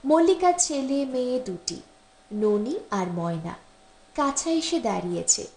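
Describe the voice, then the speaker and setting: A woman's voice: high-pitched, big pitch swings, measured pace, a little mellow. A woman reading a literary story aloud as an audiobook narrator. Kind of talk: expressive literary story narration with acted dialogue. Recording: roomy, echoing voice recording.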